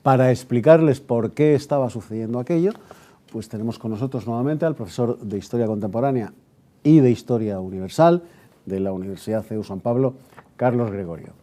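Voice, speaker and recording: A man's voice: medium pitch, a little fast, mellow. A man speaking as a programme host, introducing and questioning a guest. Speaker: radio programme host and interviewer.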